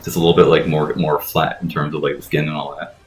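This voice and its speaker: Man, low pitch, casual gaming commentary, rambling storyteller, faint music behind. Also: quick pace. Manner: rambling, animated retelling with acted quotes. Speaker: let's play commentator. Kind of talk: casual chat over video game.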